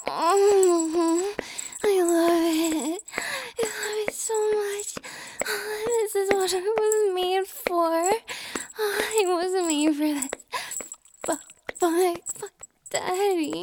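A young adult woman performing an intimate audio roleplay, whispering softly with breathy sighs and a pleading, submissive delivery. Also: extremely high pitch, slight background noise.

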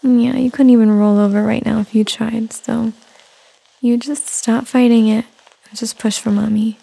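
A woman in a soft-spoken roleplay, whispering and cooing in a soothing way. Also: on the high side, level tone, moderate pace. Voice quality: whispery, breathy. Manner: cooing, soothing, talks as to an infant. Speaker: roleplay performer.

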